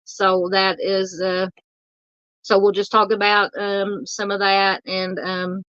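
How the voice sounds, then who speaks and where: Southern woman, livestream host, hoarse and tiring, halting and polite, dry and slightly muffled mic. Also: mid-range voice, monotone, fairly bright tone, no room sound. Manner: halting, filler-heavy, polite with viewers. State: losing her voice. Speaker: true crime livestream host. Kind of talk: casual livestream chat reading viewer comments.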